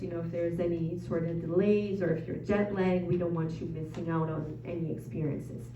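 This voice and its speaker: A chatty woman with a Brazilian Portuguese accent presents to a live audience, filling gaps with ums and uhs. Her voice is mellow and warm. She sounds a bit distant from the mic in an echoey room, with a dull, muffled recording. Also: on the low side, slight background noise. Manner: chatty, frequent ums and uhs, self-deprecating. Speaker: travel presenter at an info session.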